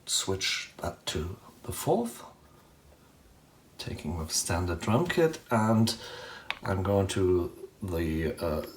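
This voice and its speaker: Low-voiced man in an echoey room. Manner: thinking aloud while operating gear. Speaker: demonstrator of a synthesizer patch. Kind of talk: hands-on tutorial talk, unscripted. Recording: roomy echo, noticeable background noise, clicks.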